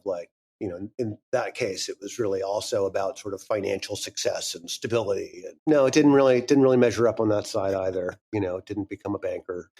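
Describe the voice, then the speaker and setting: A man's voice: fairly low pitch, mellow. A man in his fifties, a guest speaking frankly and candidly in a casual interview, swearing now and then. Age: 50s. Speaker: guest, audio drama creator.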